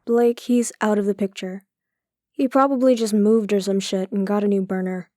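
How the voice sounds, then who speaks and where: A woman's voice: mid-range voice, fairly even intonation, normal speed, mellow, no echo, studio quality, lightly acted. A woman playing a guarded, protective police chief and weary, stubborn older sister in an audio drama. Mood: concerned, gentle.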